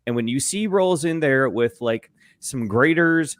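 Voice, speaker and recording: A man's voice: mid-range voice, expressive, measured pace, dry recording, studio quality. A man bantering casually about sports as a show panelist, ranting in a sarcastic, profane, exaggerating way.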